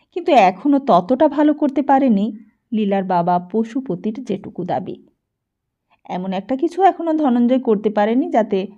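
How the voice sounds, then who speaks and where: A woman narrates a literary audiobook story in a fairly high voice. Her tone is dark and relaxed rather than bright. The recording is slightly muffled and lo-fi.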